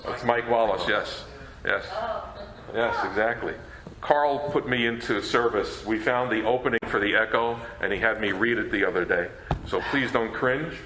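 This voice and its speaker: A man's voice: on the low side, moderate pace. A man giving an informal convention talk in a casual, chatty way. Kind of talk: informal presentation. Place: echoey presentation room. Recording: echoey room, clear background noise.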